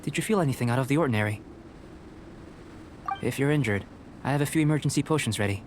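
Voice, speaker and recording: A man voicing a calm, reserved young alchemist scholar in an anime game, speaking in a measured, precise way. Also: mid-range voice, a little hiss or hum, lightly acted. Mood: composed, instructive. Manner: measured, precise, composed, clinical.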